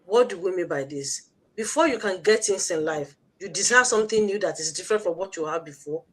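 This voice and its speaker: A Nigerian woman hosting an online livestream, giving a motivational pitch with emphatic, repetitive, preacher-like exhortation. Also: expressive, a little fast. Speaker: host of an online business promotion livestream.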